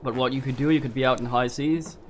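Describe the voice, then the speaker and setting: A man's voice: medium pitch, fairly even intonation, fast, a little hiss or hum. A man with a slight sniffle, casually thinking aloud while streaming a game from home. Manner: thinking aloud, rambling and musing. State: a little sniffly from a cold. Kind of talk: casual live gameplay commentary. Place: at home at his computer. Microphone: desk microphone. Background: game music.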